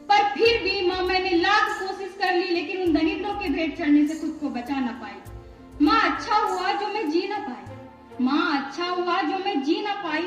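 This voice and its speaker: A teenage girl student giving an impassioned, plaintive dramatic poetry recitation, repeating lines for emphasis. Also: very high voice. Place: echoey room at a school. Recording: echoey room, distant microphone.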